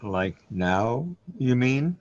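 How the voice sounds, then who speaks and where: An actor playing a troubled, bewildered man in a surreal sci-fi radio drama, hesitant and halting as he muses aloud. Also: medium pitch, lively intonation, darkish tone, highs cut off. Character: troubled, bewildered man overwhelmed by cosmic reality.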